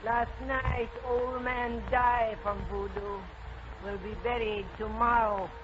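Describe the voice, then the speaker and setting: An actress playing an old voodoo woman who speaks in halting, broken phrases in an old radio drama. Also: a little high, flat delivery. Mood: ominous. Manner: halting, clipped pidgin phrases. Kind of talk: 1940s radio acting. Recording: noisy muffled old radio recording.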